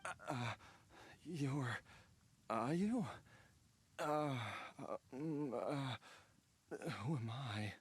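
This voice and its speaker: A man's voice: medium pitch, animated, extremely slow, exaggerated voice. An actor playing a confused, ailing father in a video game, mumbling and faltering as he struggles to remember. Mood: dazed, confused. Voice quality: husky, breathy.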